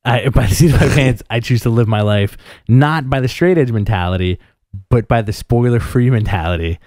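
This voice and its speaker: A man's voice: mid-range voice, brisk, darkish tone, dry recording, studio quality. A man co-hosting a podcast, chatting about comics in a jokey way with many fillers. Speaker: podcast co-host. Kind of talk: casual comics chat.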